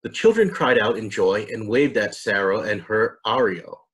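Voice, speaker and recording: A man in his thirties reading and presenting for children and families in an explanatory, deliberate way. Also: fairly low pitch, animated, fairly bright tone, poor audio. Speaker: storybook reader and presenter. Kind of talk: scripted narration for children and families.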